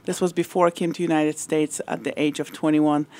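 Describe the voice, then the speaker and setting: A woman's voice: sits low, flat delivery, fairly quick, dark tone. A woman from the former Soviet Union, a musician guest on a radio interview, reflective and lightly laughing, with "uh"s between phrases. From Soviet Union, grew up in Kazakhstan. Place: radio studio.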